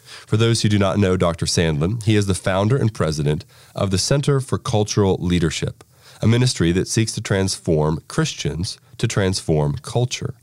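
Low-voiced man giving a scripted introduction. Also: moderate pace, darkish tone, no room sound, clean studio sound. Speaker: podcast host introducing an article.

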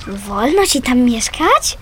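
A woman's voice: on the high side, big pitch swings, takes it slowly, a bit bright, a little hiss or hum, full character voice. An actress playing a small, tough, wary young girl in a radio play, blunt and defiant in short curt replies. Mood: curious, blunt.

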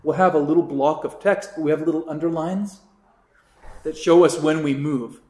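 A man's voice: fairly high pitch, fairly even intonation, moderate pace, dark tone. A man, a priest, casually teaching a catechism class, rambling with many restarts and now and then singing a short chant line. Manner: rambling, many fillers and restarts, sings brief chant examples. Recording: roomy echo.

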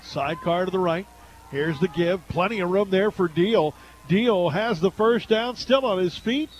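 An American man doing live radio play-by-play commentary of a high school football game. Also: measured pace, a little hiss or hum. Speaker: play-by-play sports announcer.

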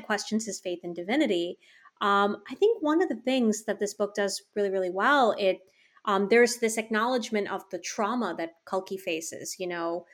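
A woman with a mid-range voice hosts a book interview. She talks in a casual, eager flow, full of "like" and small restarts, before building up to long questions. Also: medium pitch. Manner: chatty, enthusiastic, many "like" fillers. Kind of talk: interview conversation.

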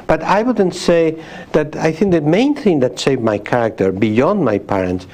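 A man in his sixties from Spain with a Spanish accent, talking as an interview guest, thoughtful, with frequent pauses and restarts. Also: a little high, lively intonation, a little mellow. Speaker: guest, sociologist. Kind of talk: interview conversation.

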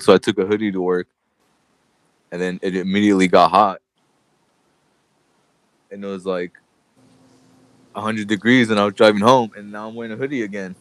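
A man's voice: lowish voice, no room sound, poor audio. A man co-hosting a podcast in casual chat, congested and laughing often as he jokes around. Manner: laughs often, loose and joking. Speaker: podcast co-host.

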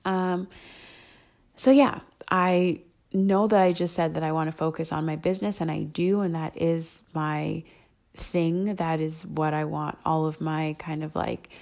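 A young adult woman with a hoarse voice, rambling and thinking aloud with many ums as she hosts her solo podcast. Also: low voice, fairly even intonation, measured pace, a little mellow. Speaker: podcast host. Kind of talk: casual solo podcast chat.

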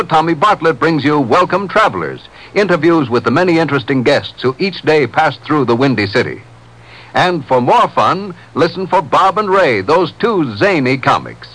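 Male network announcer, American accent, animated vintage radio promo delivery, higher register. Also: normal speed, rough recording. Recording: old 1950s radio broadcast recording.

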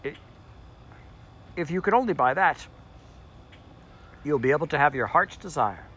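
Man reading as a snappish magic sand creature, pitched up and loud, fussy and self-important. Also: animated, moderate pace, husky, highs cut off. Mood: anxious, coaxing. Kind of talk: audiobook character voice.

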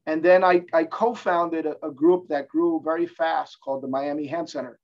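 A man's voice: on the high side, fairly even intonation, normal speed, lo-fi sound. A middle-aged man from New Jersey, an orthopedic hand surgeon talking as a podcast guest, explaining at length with frequent restarts. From New Jersey, born in Cuba. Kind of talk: casual interview talk. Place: office in his medical building.

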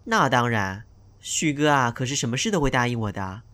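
A man's voice: medium pitch, animated, a little fast, bright, forceful tone. A man playing a polite, ingratiating young newcomer actor in an audio drama, speaking in a deferential way. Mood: ingratiating, smug. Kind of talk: modern radio drama acting.

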